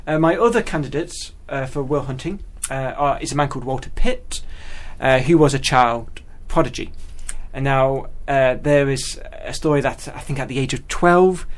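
A man telling stories as a guest in casual conversation, animated and often repeating words as he goes. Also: medium pitch, moderate pace, few pauses. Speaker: guest mathematician.

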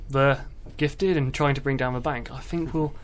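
A man's voice: mid-range voice, fairly even intonation, normal speed. A man hosting a show in a casual, chatty interview style. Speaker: show host.

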